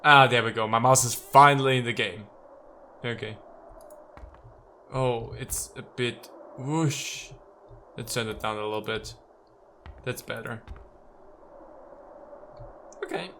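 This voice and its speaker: A man's voice: medium pitch, slow pace, pauses often, sharp tone, slight background noise. A man giving casual, playful let's play commentary, slipping into theatrical readings of game text and talking to the characters.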